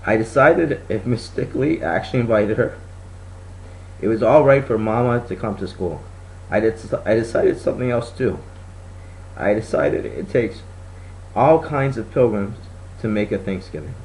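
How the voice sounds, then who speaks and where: A man's voice: lowish voice, expressive, moderate pace, a little mellow, slight background noise, low-quality recording. A man reading a children's story aloud as a scripted narration. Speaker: storybook reader.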